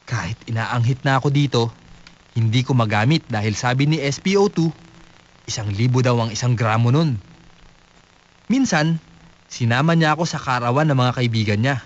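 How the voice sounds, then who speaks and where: A man's voice: mid-range voice, moderate pace, pauses often, lightly acted. A man playing a naive young squatter who solemnly and proudly reads his letter in a comic radio drama. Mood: earnest, proud. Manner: solemn, letter-reading delivery. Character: naive, earnest young male squatter writing home with pride. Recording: dull lo-fi radio broadcast.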